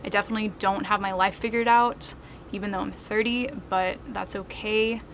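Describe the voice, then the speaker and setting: A thirty-year-old woman talks easily to her camera, working through viewer questions one by one. Her pitch sits in an ordinary middle range. A faint hiss of background noise stays under her voice. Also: steady tone, normal speed. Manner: chatty, reads out questions then answers at length. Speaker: vlogger answering viewer questions. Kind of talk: casual Q&A talk to camera.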